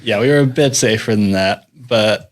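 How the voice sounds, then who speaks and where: A man's voice: fairly low pitch, moderate pace. A young American man from Utah, chatting easily as a podcast guest and chuckling often. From Salt Lake City, Utah, USA. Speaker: guest, bike mechanic. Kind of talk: casual podcast interview.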